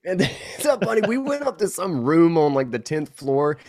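A man's voice: fairly high pitch, very animated, moderate pace, a little mellow, no room sound, studio quality. A man chatting as a podcast guest, stuffed up from a recent illness, telling stories with lots of fillers and laughs. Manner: rambling, laughing storyteller with many fillers. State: congested, recovering from illness. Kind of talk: casual interview chat.

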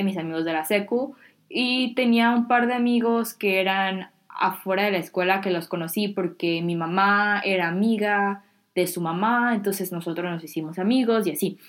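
A teenage girl from Mexico with a Mexican accent, talking casually and candidly to her viewers, dropping slang and poking fun at herself. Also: medium pitch. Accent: Mexican Spanish. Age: teens. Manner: chatty, candid, self-deprecating, uses Mexican slang. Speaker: vlogger. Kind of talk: casual talk to camera.

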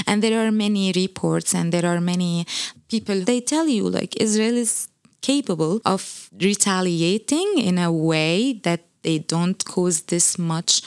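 A woman's voice: on the low side, no room sound, studio quality. A Palestinian woman giving an impassioned interview answer, full of rhetorical questions.

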